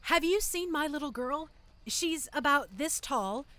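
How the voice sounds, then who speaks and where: A woman playing a loving, protective mother of a young child in an audio drama, with a gentle, coaxing manner. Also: sits high, expressive, unhurried, full character voice. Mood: worried.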